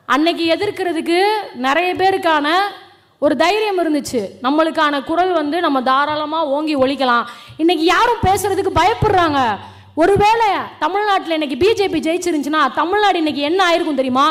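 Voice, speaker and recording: High-pitched young Tamil Nadu woman, combative. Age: young adult. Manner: combative, challenging, firing rhetorical questions. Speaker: political speaker. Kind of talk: fiery colloquial political stage speech.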